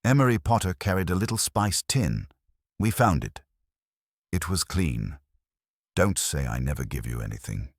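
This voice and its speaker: Man as a gruff, very deep police inspector. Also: very animated, moderate pace, no echo, clean studio sound, full character voice. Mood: weary, grudging. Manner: blunt, clipped, bossy. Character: gruff, irritable male police inspector, blustering and weary. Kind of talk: detective audiobook dialogue.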